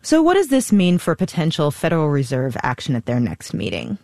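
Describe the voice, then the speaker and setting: A woman anchoring a radio business news show, reading the news and interviewing a guest live in a crisp newsreader manner. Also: low voice, a little fast, no echo, studio quality. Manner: crisp, lively newsreader delivery. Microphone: studio microphone.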